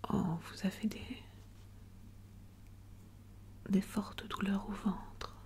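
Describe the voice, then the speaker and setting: Woman, ASMR doctor roleplay. Whispered, breathy and even, with a gentle bedside manner. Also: mid-range voice, very slow.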